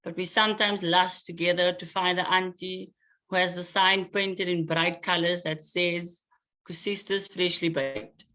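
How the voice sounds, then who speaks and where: Man reading poetry slowly. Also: high voice, bright, forceful tone, rough recording. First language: Afrikaans. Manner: slow, measured recitation with hesitant asides between pieces. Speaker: poet.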